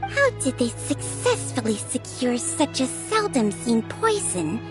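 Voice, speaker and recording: High, perky childlike sidekick. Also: female voice, big pitch swings, a little slow. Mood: smug. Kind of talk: video game voice acting. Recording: background music under the voice.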